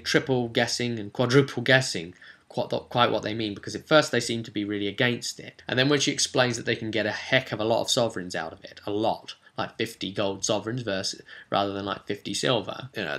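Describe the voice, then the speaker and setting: Deep, bright-voiced man recounting a game. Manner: animated asides and rhetorical questions. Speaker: game master recounting a session. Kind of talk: casual storytelling recap of a tabletop role-playing game.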